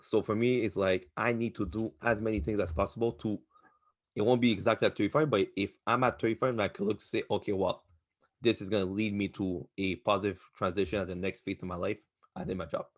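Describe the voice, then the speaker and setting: Casting: male podcast co-host in his twenties, deep voice, casual and opinionated, reflective advice-giver. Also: fairly even intonation, quick pace. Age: 20s. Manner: reflective advice-giving, slangy with some swearing.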